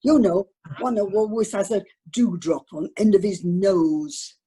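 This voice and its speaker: A woman playing a fussy, chatty retired wife with a northern English accent and a husky voice in an amateur radio comedy. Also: medium pitch, measured pace, mellow. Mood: exasperated. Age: older. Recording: online video meeting audio.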